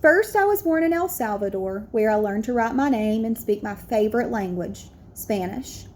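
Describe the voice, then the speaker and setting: A woman's voice: on the high side, lively intonation, moderate pace, a little mellow, a little hiss or hum, natural voice. A woman voicing a proud, earnest young immigrant schoolboy telling his own story in a picture-book read-aloud. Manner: earnest, declarative.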